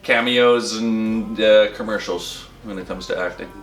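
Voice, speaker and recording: A man's voice: on the low side, steady tone, a little slow, soft background music. A man chatting casually as an interviewed voice actor, jokey and self-deprecating. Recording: roomy echo, distant from mic.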